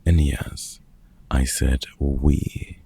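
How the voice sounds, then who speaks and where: A man acting out a gruff, sarcastic older vampire hunter who mentors with grumpy care, husky and dryly teasing, in an audio roleplay. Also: rumbling low, fairly even intonation, drawn-out words, warm tone, full character voice. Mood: dry, wry. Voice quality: gravelly, husky. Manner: dry, teasing, sardonic.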